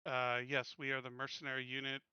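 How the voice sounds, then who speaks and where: A man's voice: medium pitch, monotone, fairly quick, sharp tone, smooth, dry recording, highs cut off. A man playing a mercenary who reports in over comms, formal but hesitant, in a tabletop game.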